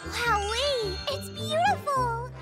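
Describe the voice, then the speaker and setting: An actor voicing a small, sweet young female fox in a children's cartoon, earnest and expressive in manner. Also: very high-pitched, slow, loud music behind the voice. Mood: delighted.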